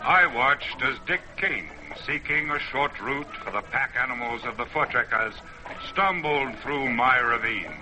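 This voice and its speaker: A grave mountain spirit, played by a man, narrating an old radio drama with brooding patience. The delivery is very slow and portentous, on a clearly noisy vintage broadcast recording. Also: medium pitch, natural voice. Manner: solemn, portentous, measured.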